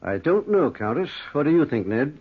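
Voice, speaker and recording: An actor playing a calm, skeptical doctor, measured and reassuring, in old-time radio drama style. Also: male voice, a little high, husky. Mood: dry, composed. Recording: thin, narrow-band vintage radio recording.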